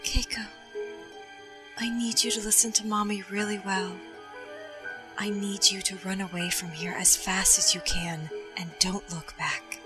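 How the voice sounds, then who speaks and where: An actress playing a gentle, devoted young mother and wife in a melodramatic audio drama. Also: mid-range voice, level tone, a little slow, lightly acted. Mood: urgent, tender. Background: music.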